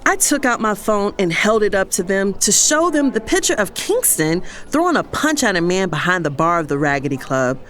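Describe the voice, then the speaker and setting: A woman's voice: medium pitch, very animated, normal speed, hardly any pauses, fairly bright tone. A woman playing a fed-up, sassy young career woman, narrating an audio drama in the first person. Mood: exasperated. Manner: exasperated, sassy.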